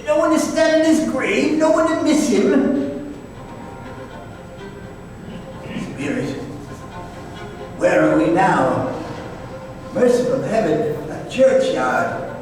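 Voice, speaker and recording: Man playing old miser, high voice, halting exclamations, theatrical radio drama delivery, distant mic in reverberant hall. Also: lively intonation, over music. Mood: fearful, awed.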